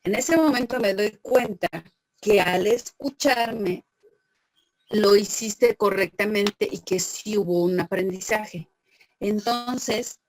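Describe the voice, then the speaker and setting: A woman's voice: medium pitch, low-quality recording. A woman teacher, lecturing an online class, patiently questioning students and praising them by name.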